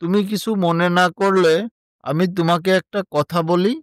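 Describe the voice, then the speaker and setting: A man's voice: sits high, fairly even intonation, moderate pace, clear, resonant tone, no room sound, clean studio sound, natural voice. A man playing a kind, practical village suitor in a moral story, speaking earnestly and encouragingly.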